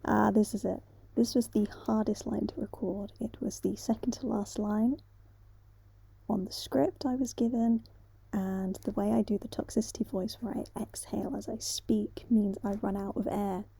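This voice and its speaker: A woman's voice: medium pitch, steady tone, normal speed, creaky, dark tone, husky, no room sound. A woman speaking casually and a little hesitantly as an amateur voice actor, telling unscripted stories to viewers.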